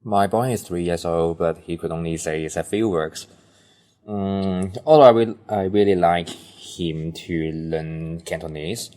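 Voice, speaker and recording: A man speaks as an interviewed parent with halting, careful English. His voice is very deep. He talks slowly and pauses to find words. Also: steady tone, mellow. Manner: hesitant, halting second-language English. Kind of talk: recorded interview.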